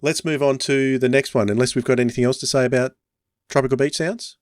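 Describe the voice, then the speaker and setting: A man's voice: medium pitch, fairly even intonation, fast talker, dry recording. A man hosting a casual podcast discussion in a conversational way on a close microphone. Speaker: podcast host.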